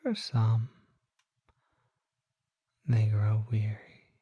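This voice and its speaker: Breathy, very slow, courteous vampire shopkeeper acted out. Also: mid-range voice, animated, no room sound, lo-fi sound, heavily acted. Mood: musing. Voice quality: soft, breathy, often whispered. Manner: genteel, leisurely, musing. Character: courteous, unhurried, curious vampire apothecary shopkeeper. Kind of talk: ASMR roleplay.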